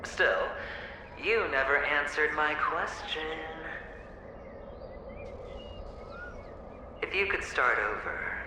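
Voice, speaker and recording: Echoey, theatrical, mocking phantom. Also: male voice, fairly high pitch, big pitch swings, unhurried. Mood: sly, challenging. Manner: taunting, theatrical, swooping between hushed and exclaiming. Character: mocking, theatrical phantom tormentor, gleefully needling. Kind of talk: video game cutscene acting. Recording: echoey, distant, loud background noise.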